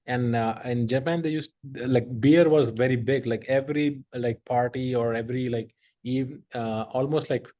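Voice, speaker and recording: A man's voice: mid-range voice, steady tone, normal speed, warm tone. A middle-aged man, hosting a podcast and telling stories in a rambling, filler-heavy way with lots of laughter. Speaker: podcast host. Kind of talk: casual conversational storytelling.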